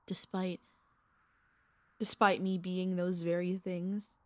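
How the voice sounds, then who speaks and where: Centuries-old vampire girlfriend played by a woman: soft-spoken, courtly, possessive yet tender, lowish voice, close dry ASMR sound. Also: flat delivery, no room sound. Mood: wistful, tender. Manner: intimate, soft-spoken, hesitant pauses, old-fashioned courtly wording. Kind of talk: ASMR audio roleplay. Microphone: close studio microphone, dry.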